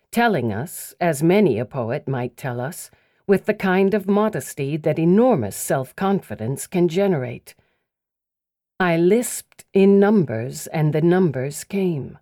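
A woman narrating literary essays and verse as a scripted audiobook, with measured, deliberate, precise phrasing. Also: fairly low pitch, warm tone, dry recording, clean studio sound.

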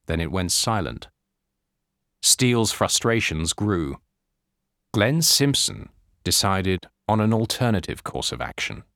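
A man reading nonfiction as an audiobook narrator, measured and clearly articulated, in a scripted style. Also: sits low, sing-song, fairly bright tone, dry recording, clean studio sound. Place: dry studio recording.

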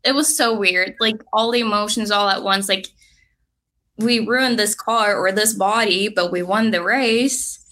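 A young woman from Norway with a Norwegian accent, telling her racing story as an interview guest, lively and full of "like". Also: medium pitch, unhurried. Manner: lively, fillers of "like", laughs easily. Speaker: drag racing driver guest.

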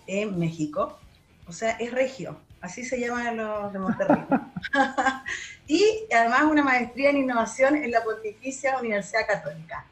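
A woman hosting an interview show, introducing her guest and asking questions in a chatty way. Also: fairly high pitch. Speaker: host.

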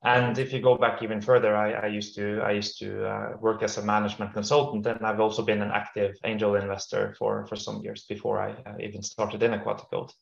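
Norwegian-raised guy on a video call, low gravelly voice, roomy muffled sound, kinda halting. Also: completely flat delivery. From northern Norway. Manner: measured, with frequent repeats and fillers. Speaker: startup CEO guest. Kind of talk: interview answers.

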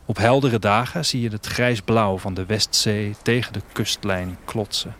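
A man playing a restless, wistful traveller, narrating his story in a reflective, measured literary audio drama style. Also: on the low side, fairly even intonation, a bit bright, lightly acted. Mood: subdued, wistful. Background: music.

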